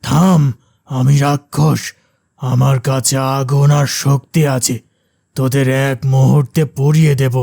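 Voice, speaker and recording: A gravelly cartoon demon, dark in tone and recorded very dry. He is a boastful forest tyrant who taunts and threatens smaller creatures. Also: medium pitch, steady tone, measured pace, no room sound. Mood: angry, threatening. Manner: taunting, domineering. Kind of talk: cartoon character acting.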